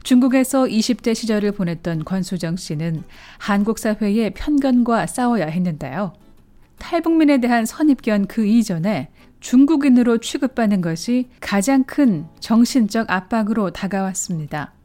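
A woman narrating a documentary news feature in a measured, formal script. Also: medium pitch, animated, mellow. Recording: narration over faint music.

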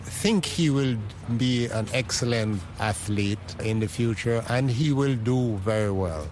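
A man from Jamaica answering interview questions in an earnest, deliberate, halting way. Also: mid-range voice, darkish tone, low-quality recording. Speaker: interviewed sports fan. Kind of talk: street interview, measured answers. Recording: outdoor urban background noise.

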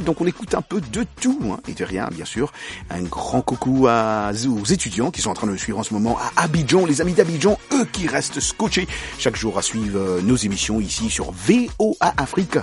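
A male radio host talks in an animated, sing-song way, his pitch swinging widely as he jokes with a guest. Faint music sits under his voice in the studio. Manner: lively, laughing, playful. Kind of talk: casual radio chat.

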